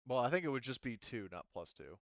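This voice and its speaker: A man running a tabletop roleplaying game as game master, casual and halting as he thinks aloud. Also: mid-range voice, big pitch swings, gravelly, no echo, muffled recording.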